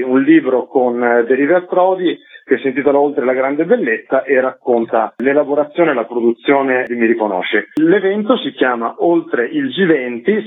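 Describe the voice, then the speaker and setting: A man speaking as an activist guest in a radio interview, explaining his case earnestly in repeated, list-like phrases. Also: mid-range voice. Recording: muffled narrow phone line on radio.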